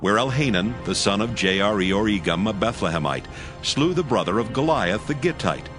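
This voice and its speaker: A man narrating a dramatized Bible from a script in a formal, measured storytelling manner. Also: on the low side, animated, over music.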